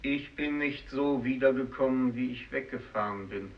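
An actor playing a brooding, guilt-laden fisherman, speaking solemnly and deliberately in a 1950s German radio drama. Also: mid-range voice, lightly acted. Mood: reproachful. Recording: dull old radio recording.

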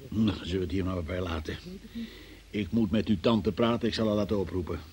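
An actor playing a stern, impatient investigating magistrate in a Dutch radio play, probing and sceptical. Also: male voice, low-pitched, a little fast, crowd noise, natural voice. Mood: brisk, officious. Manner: probing, sceptical, officious, exasperated.

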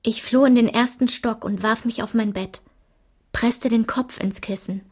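An actress playing a woman who recounts a traumatic childhood memory in a measured, restrained literary radio play narration, at times dropping to a whisper. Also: a little high, steady tone, breathy edge. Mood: sombre, tense.

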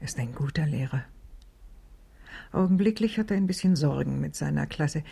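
An older actress playing a reflective, kindly old village schoolteacher in a German radio drama, with a gravelly, husky voice. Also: female voice, low voice, animated, moderate pace, mellow, full character voice. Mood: kindly, wry.

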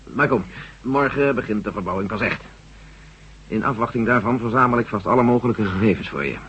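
An actor playing a gruff, jovial army general in a Dutch radio drama, talking in a bluff, hearty, colloquial way with a husky voice. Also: male voice, fairly low pitch, animated, fairly quick, a bit bright, slight background noise. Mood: confiding, jovial. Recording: dull, muffled old radio recording.

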